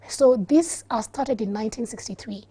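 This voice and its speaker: A woman's voice: on the high side, normal speed, warm tone, breathy edge. A Kenyan woman with a Kenyan accent, a guest on a studio talk show, explaining earnestly and laughing easily. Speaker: guest, activist.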